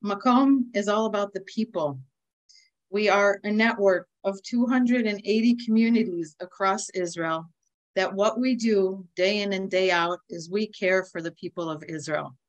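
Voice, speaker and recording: A woman in her forties with an American accent, giving an earnest, measured briefing over a video call and pausing often with "uh". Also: mid-range voice, rough recording. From United States. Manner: earnest, measured, with frequent "uh" pauses. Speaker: nonprofit speaker. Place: indoors with a virtual flag background. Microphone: video call webcam.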